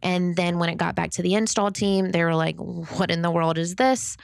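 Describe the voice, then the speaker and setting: Woman, young adult, high-pitched, fry-tinged, upbeat self-deprecating podcast host, close dry mic. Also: fairly even intonation, normal speed, no echo. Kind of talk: solo podcast advice talk.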